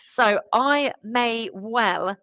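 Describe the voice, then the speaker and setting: A woman's voice: on the high side, drawn-out words, a bit bright, no echo, lo-fi sound. A woman explaining things in an emphatic, conversational way during a live business discussion, as a consultant would. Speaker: recruitment automation consultant. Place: online live stream.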